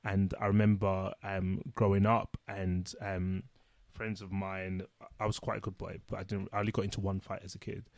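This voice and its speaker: A man co-hosting a casual chat, a social worker speaking in a reflective, measured way. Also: deep voice, level tone, creaky, a little mellow, no echo. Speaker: social worker, podcast co-host.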